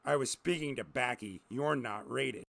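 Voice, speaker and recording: A grumpy duck detective, as acted by a male storyteller with a very gravelly voice. He is cynical and sardonic, a hard-drinking private eye from a comic audio story. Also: medium pitch, normal speed, fairly bright tone. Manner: gruff, sardonic, dismissive.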